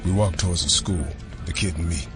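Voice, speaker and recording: A man's voice: sits low, brisk, music in the background, close to an everyday voice. A man playing a grim, weary government fixer who tells his story in terse, hard-boiled narration for an audio drama.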